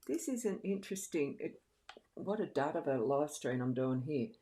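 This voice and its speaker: A woman's voice: deep voice, lively intonation, normal speed, mellow. A woman live streamer and card reader talking casually and thinking aloud in a chatty, giggly, scattered way.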